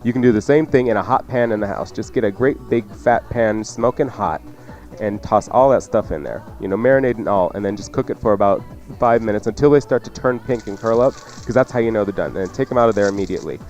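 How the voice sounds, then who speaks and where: A man's voice: on the low side, fast, hardly any pauses, darkish tone, faint music behind. A man hosting a cooking show with casual, joking, teasing instructions.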